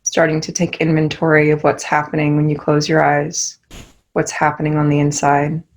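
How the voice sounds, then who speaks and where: A young woman leading a guided meditation, giving soft, soothing instructions. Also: low-pitched, completely flat delivery, moderate pace, low-quality recording.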